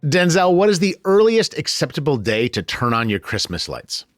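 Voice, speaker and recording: A man's voice: a little high, sing-song, moderate pace, dry recording, soft background music. An American man hosting a conversational news podcast in a colloquial, joking way. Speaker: podcast host.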